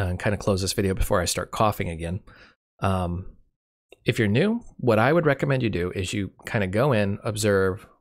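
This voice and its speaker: Man with a cold, low voice. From Michigan. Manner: unscripted, self-correcting, dry humor. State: has a cold, losing his voice. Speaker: channel host. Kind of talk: casual screen-share walkthrough.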